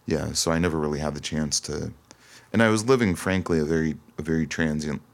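Male interview guest, extremely low and gravelly, thoughtful and halting, conversational. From Toronto, Canada. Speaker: singer-songwriter guest. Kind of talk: casual interview chat.

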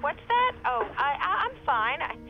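A busy, exasperated mother in an audio drama, with a high, animated voice. She sounds distracted and brisk through a dull telephone filter, with music behind. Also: heavy background noise, full character voice. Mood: distracted, harried. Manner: distracted, brisk, scolding asides. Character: busy, distracted suburban mom, exasperated but affectionate. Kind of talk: naturalistic audio fiction acting. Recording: band-limited phone line, music behind.

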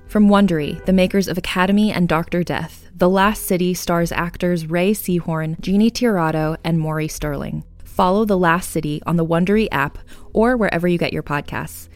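A woman's voice: lowish voice, normal speed, hardly any pauses. A woman reading scripted promotional narration and ads for podcasts. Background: music bed.

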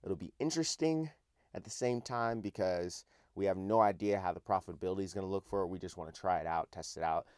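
Male podcast host, deep and raspy, a bit hoarse; earnest, chatty solo delivery, dry close mic. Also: fast, a little mellow, dry recording. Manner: earnest, conversational, asks listeners direct questions. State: hoarse after a night out.

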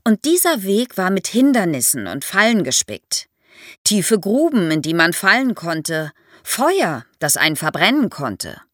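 Female expert guest for an explainer podcast, lively intonation, vivid storytelling manner, very dry studio sound. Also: lowish voice, measured pace, no room sound. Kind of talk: explanatory podcast interview for young listeners.

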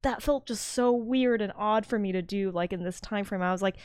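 A woman's voice: mid-range voice, fairly quick, a little mellow, smooth, no room sound, studio quality. A young woman co-hosting a podcast and talking about acting, candid and blunt in casual chat. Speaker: podcast co-host and actor.

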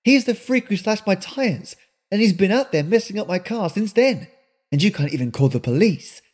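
A man's voice: high-pitched, animated, normal speed, a little mellow, pitched above the performer's own voice. A man reading aloud and voicing an anxious young woman stranded at a roadside inn, pressing with questions. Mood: anxious, demanding.

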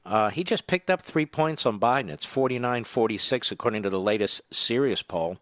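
A man hosting a talk radio show, emphatic and animated, introducing callers. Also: medium pitch, a little fast, dry recording, clean studio sound. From Philadelphia.

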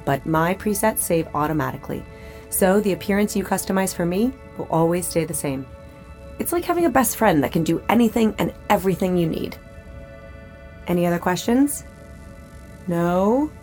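An actress playing a friendly, upbeat AI hologram tour guide, speaking in a polished, personable way in an audio drama. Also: female voice, on the low side, expressive, moderate pace, darkish tone, faint music behind. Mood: friendly, playful. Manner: polished, personable presenter delivery. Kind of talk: scripted audio drama acting.

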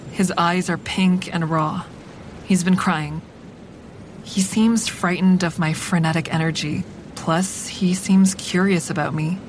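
Drama narrator: woman to play a controlled, wry schemer, slightly low register, first-person storytelling, light background noise. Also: lightly acted. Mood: cool, calculating. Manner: wry, controlled, matter-of-fact. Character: cool, calculating young woman narrating her own manipulations. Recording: faint traffic rumble behind.

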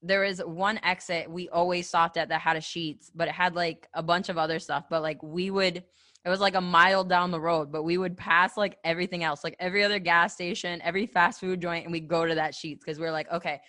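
A woman's voice: on the low side, fairly even intonation, fairly quick, sharp tone. A woman from the American Midwest interviewing in a casual, friendly chat, agreeable and given to reminiscing. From Ohio, American Midwest.

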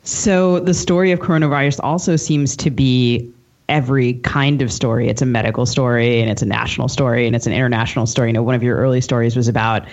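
A man's voice: mid-range voice, steady tone, fast, muffled recording. A man co-hosting a podcast, asking conversational interview questions with long, thoughtful lead-ins.

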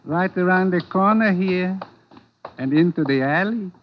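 An actor playing a courteous, menacing gang boss in an old radio drama, speaking formally and with measured politeness. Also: male voice, high voice, background noise. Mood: polite menace. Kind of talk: 1940s radio acting. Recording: dull, lo-fi 1940s radio recording.

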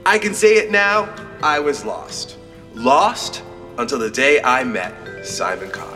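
A man's voice: mid-range voice, very animated, slow, bright, forceful tone, big-room sound, recorded from a distance, faint music behind, exaggerated voice. An actor playing a defeated, hapless man in a comedy audio drama, insistent and prone to stammering. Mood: showy confession. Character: defeated, overweight, hapless man posing as a criminal.

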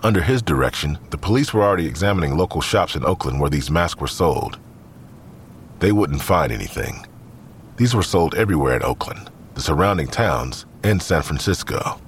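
The serious narrator of a scripted police mystery. His voice is very deep yet moves with lively inflection, over a slight low rumble. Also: moderate pace. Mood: serious, narrating. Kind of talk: scripted crime story narration.

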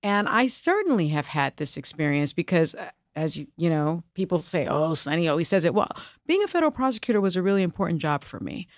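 Expressive middle-aged woman, dry close mic. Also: on the low side, quick pace, few pauses, no room sound. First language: Spanish. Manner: animated, emphatic, with laughs and restarts. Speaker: co-host and guest. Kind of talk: candid conversational interview.

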